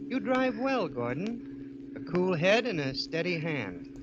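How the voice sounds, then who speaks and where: An actor playing a mysterious, knowing stranger who taunts with insinuating calm in an old-time radio drama. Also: male voice, high voice. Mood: sly, taunting. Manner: insinuating, sly, unhurried menace. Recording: muffled, noisy old radio broadcast.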